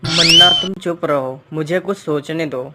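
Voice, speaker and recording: कलाकार की आवाज़ में चालाक, शांत चोर. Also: male voice, fairly high pitch, huge pitch swings, measured pace, over loud music. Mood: commanding. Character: clever cunning young male thief, calm and persuasive. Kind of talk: animated Hindi moral-story dialogue.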